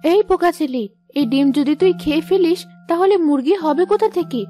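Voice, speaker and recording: A woman's voice: very high-pitched, a little fast, mellow, faint music behind. A woman voicing a loving, worried mother of a poor village family in a moral story cartoon. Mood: practical, motherly. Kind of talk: scripted children's moral story cartoon dubbing.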